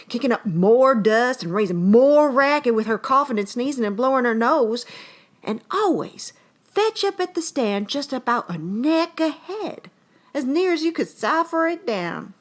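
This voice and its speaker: Rambling, earnest old-time yarn-spinner played by a woman for a comic audio story; highish pitch, very animated, drawn-out rustic delivery. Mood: amused, animated. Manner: folksy, drawn-out, conversational storytelling in rustic dialect. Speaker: actor reading aloud. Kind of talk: dramatic reading of a comic short story.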